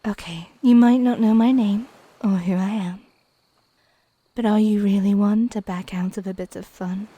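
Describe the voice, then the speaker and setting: A woman playing a flirty, teasing mermaid in an intimate ASMR roleplay, coy and playful with breathy half-whispers. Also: medium pitch, fairly even intonation, normal speed, mellow, dry recording, lightly acted. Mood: coaxing.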